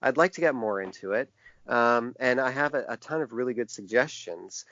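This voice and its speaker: A man answering interview questions as a designer, in a conversational but halting way, often restarting his phrases. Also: medium pitch, lively intonation, fairly bright tone, no echo. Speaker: podcast guest, book designer. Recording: dull, band-limited lo-fi sound.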